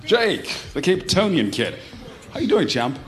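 A man's voice: fairly high pitch, wildly swooping pitch, unhurried, soft background music. A man playing a brash, boastful radio DJ in a live radio drama, with a swaggering, playful manner. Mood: cocky, friendly. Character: brash, boastful young male mid-morning radio DJ.

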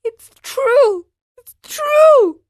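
Woman acting wailing, high-pitched little puppet boy. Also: big pitch swings, slow pace, dry recording, heavily acted. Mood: tearful panic. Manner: wailing, pleading. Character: frightened, tearful young wooden puppet boy. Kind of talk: children's storybook character voice.

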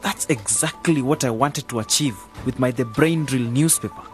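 A man's voice: medium pitch, normal speed, soft background music, lightly acted. A man playing an eager, informal youngster in a radio drama. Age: young adult. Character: lively youngster, keen would-be community broadcaster.

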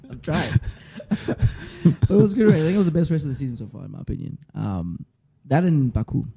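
Zulu-speaking man with a dark, worn voice. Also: on the high side, brisk, gravelly, husky. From South Africa. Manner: laughs often, relaxed banter. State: strained voice from shouting. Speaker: podcast co-host. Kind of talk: casual chat. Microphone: studio mic.

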